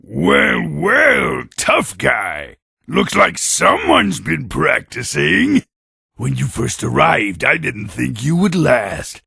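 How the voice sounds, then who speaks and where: A male actor playing a tough, cocky warrior creature in a video game, gravelly and raspy, with a taunting, brash manner. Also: medium pitch, sing-song, takes it slowly, bright, forceful tone, no echo, clean studio sound, full character voice. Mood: mocking. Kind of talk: scripted game cutscene dialogue.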